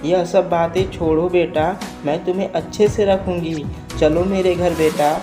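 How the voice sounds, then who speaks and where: Cartoon crow aunt, caring and slow-spoken, lowish voice, music behind, a woman voicing her. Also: fairly even intonation, close to an everyday voice. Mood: gentle. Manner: soothing, reassuring. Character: kind motherly crow aunt, gentle and caring. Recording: music playing underneath.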